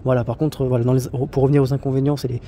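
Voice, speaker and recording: A man's voice: medium pitch, flat delivery, very fast, mellow, a little hiss or hum. A man talking casually about solo travel, rambling with many fillers, restarts and blunt slang. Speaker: travel vlogger. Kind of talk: casual spoken monologue.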